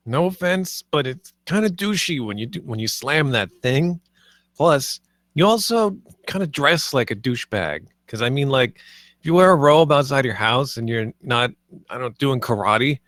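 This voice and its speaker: A man's voice: mid-range voice, expressive, moderate pace, fairly bright tone, dry recording, lightly acted. A laid-back, slangy young slacker, played by a man, mildly annoyed, in a comedy table read. The sound is close and dry.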